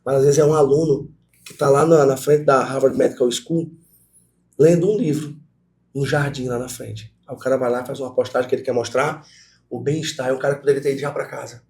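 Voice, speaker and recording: Fast-talking Brazilian professor, mellow-voiced man. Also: medium pitch, creaky. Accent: Brazilian Portuguese. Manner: animated, talks to students directly. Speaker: guest professor. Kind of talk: conversational interview storytelling.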